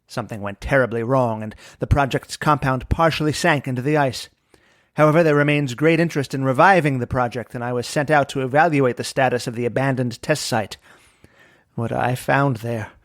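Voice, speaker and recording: A man's voice: medium pitch, a little fast, dry recording, studio quality, close to an everyday voice. An actor playing a remorseful, haunted man of science with a grave, melodramatic manner in an old-time radio detective drama. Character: haunted, remorseful male scientist who faked his death.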